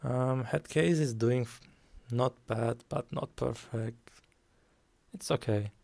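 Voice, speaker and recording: Male streamer, calm and unhurried, dark gravelly tone, desk mic at home. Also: medium pitch. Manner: slow, calm, soft-spoken with frequent ums. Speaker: guest streamer. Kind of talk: casual game stream commentary.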